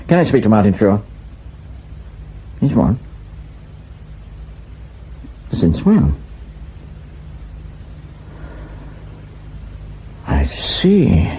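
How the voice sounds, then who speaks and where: An actor playing a curt, defensive intelligence officer under pressure in a radio spy drama, with a husky, clipped delivery. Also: male voice, mid-range voice, mellow, lo-fi sound, exaggerated voice. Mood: tense concern.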